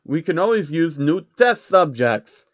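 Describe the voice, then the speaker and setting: Man playing a smug lab scientist, higher-pitched. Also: big pitch swings, a little slow, highs cut off. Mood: dryly amused. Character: tall, pale, smug male laboratory scientist.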